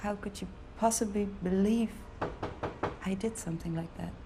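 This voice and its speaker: A woman's voice: medium pitch, steady tone, moderate pace, background noise, natural voice. An actress playing a composed, defiant researcher being questioned in a naturalistic TV drama, her answers terse and cutting.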